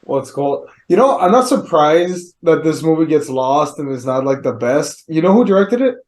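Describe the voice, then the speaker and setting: A man's voice: a little high, big pitch swings, normal speed, darkish tone. A man co-hosting a podcast, teasing and laughing, swearing freely in casual chat. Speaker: podcast co-host.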